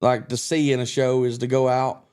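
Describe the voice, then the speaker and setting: A man's voice: medium pitch, level tone, normal speed, darkish tone, clean tone. Self-assured Kentucky-raised man, podcast guest. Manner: self-assured, rambling with restarts. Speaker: guest country singer. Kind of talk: casual podcast chat.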